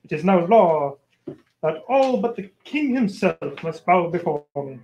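A man's voice: high voice, big pitch swings, measured pace, dark tone, muffled recording, heavily acted. An actor playing a vain, resentful Persian nobleman in an amateur radio play. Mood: indignant, proud. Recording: faintly noisy amateur recording.

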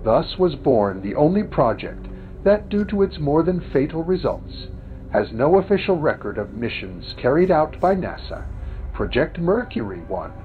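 A man narrates a documentary in formal, scripted phrases, his fairly high voice rising and falling a lot. The sound is narrow and tinny like a phone line, with music underneath. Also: big pitch swings, normal speed. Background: background music.

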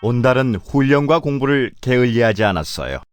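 A man's voice: medium pitch, lively intonation, brisk, over music, lightly acted. A man narrating a children's folktale in a scripted storybook style.

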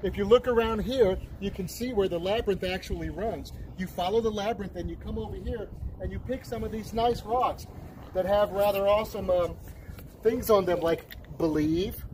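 A man's voice: high voice, moderate pace, darkish tone, a little hiss or hum, rough recording. A middle-aged man explaining things casually like a tour guide, outdoors, a few steps from the camera. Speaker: vlogger's travel companion showing the park. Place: outdoors in an open desert roadside park.

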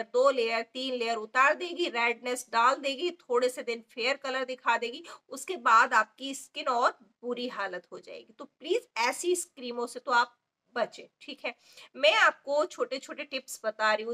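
A woman's voice: sits high, sharp tone. A woman in her forties, a makeup artist, giving casual beauty tips to her viewers. She has a sore throat, uses lots of fillers and keeps checking that viewers follow along. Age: 40s. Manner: chatty and instructive, many fillers, frequent check-ins to viewers. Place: indoor beauty parlour with ceiling fan. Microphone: phone camera held close.

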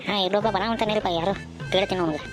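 A woman's voice: medium pitch, rapid-fire, music in the background, close to an everyday voice. A woman voicing a timid, easily frightened young male traveller in an amateur cartoon, with an anxious manner and a North Karnataka Kannada accent. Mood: questioning.

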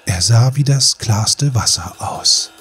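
A man with a breathy, husky voice giving a measured, solemn narration of a fairy tale for an audiobook. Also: mid-range voice, animated, a bit bright, faint music behind.

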